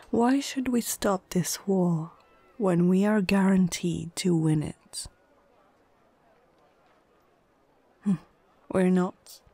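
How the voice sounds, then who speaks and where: A woman's voice: on the low side, warm tone. A woman playing a proud, menacing orc chieftain in an ASMR fantasy roleplay, imperious and condescending, often dropping toward a whisper. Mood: proud, threatening. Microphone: close microphone, dry.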